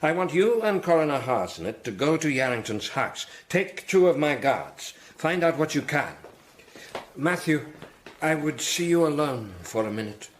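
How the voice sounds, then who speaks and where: An actor playing a grave, devout lord archbishop in a radio drama, speaking with formal authority. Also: male voice, a little high, sing-song, moderate pace, slight background noise. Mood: grave, commanding.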